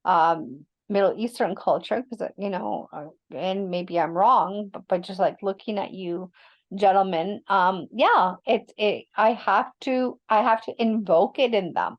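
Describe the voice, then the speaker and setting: A woman of about fifty, an educator speaking on an online panel over a video call, often halting as she searches for words. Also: mid-range voice. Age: around 50. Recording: dull lo-fi audio.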